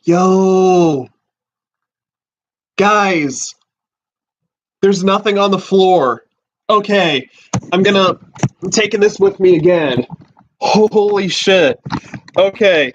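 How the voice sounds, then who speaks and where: Young man in his twenties, excitable livestream host, slow halting speech, muffled lo-fi sound. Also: high-pitched, slight background noise. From USA. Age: 20s. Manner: excitable, swearing, exclaiming, halting restarts. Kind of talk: excited casual livestream chat. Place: small room at home with a fish tank. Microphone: laptop and handheld phone. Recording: dull lo-fi stream audio.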